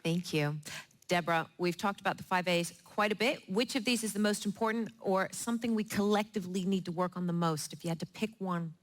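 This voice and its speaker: A woman's voice: on the low side, fairly quick, a faint crowd. A woman moderating a live panel, thanking speakers and putting questions to them. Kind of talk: hosting a live discussion.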